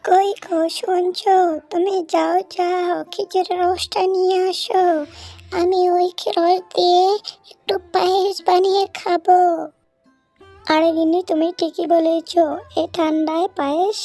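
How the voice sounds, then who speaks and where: A performer voicing an excitable cartoon animal villager, speaking in exclamations and repeating phrases. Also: very high voice, unhurried. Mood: cheerful. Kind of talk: Bengali cartoon story dialogue. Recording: music behind the voice.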